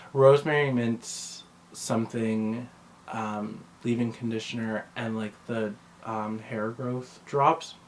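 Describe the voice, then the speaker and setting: A man's voice: medium pitch, fairly even intonation, takes it slowly, slight background noise, rough recording. A man talking casually in a vlog, rambling and conversational as he often interrupts himself. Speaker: vlogger. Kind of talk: casual chat to camera about personal routine.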